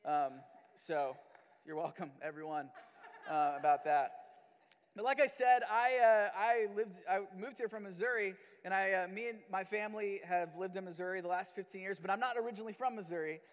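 A man's voice: high voice, steady tone, moderate pace. A young man from the Philippines, a pastor, opening his sermon with casual, rambling chat full of fillers. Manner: chatty, filler-heavy, self-deprecating. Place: church hall. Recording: long hall reverb.

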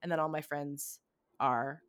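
A young woman, co-hosting a podcast and telling personal stories in an animated, casual way, full of "like" and "right?". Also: sits low, a little slow, no echo, clean studio sound. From United States. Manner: animated, heavy use of "like" and "right?". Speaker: podcast co-host. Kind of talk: casual conversational storytelling.

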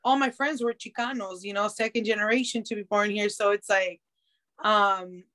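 A woman from San Diego whose first language is Spanish, a rapper chatting casually on a podcast, relaxed and laughing easily with casual swearing. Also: medium pitch, normal speed. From San Diego, USA. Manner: laid-back, laughs often, swears casually, trails off. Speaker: rapper guest.

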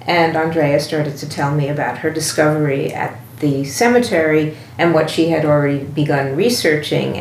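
A woman's voice: low voice, a little mellow. A woman with an American accent telling her story conversationally in an interview. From United States. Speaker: composer being interviewed. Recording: echoey room with faint background noise.

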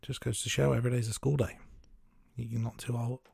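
A man co-hosting a podcast, recapping film scenes in a steady, casual way with some fillers. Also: mid-range voice, brisk, gravelly, slightly rough, no room sound. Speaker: podcast co-host. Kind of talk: casual film review chat.

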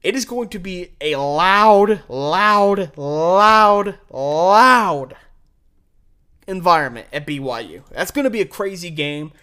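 A man's voice: sits high, animated, slow, bright, forceful tone, clear, resonant tone. A man with an American accent hosting a sports show, giving opinionated football picks and stressing key words emphatically. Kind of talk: college football picks commentary.

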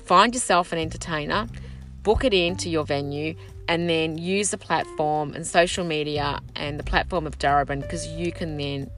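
A woman's voice: deep voice, normal speed, soft background music. A middle-aged woman, a local councillor whose first language is Sicilian, talking at length in a casual podcast conversation. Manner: animated, talks in long run-on stretches.

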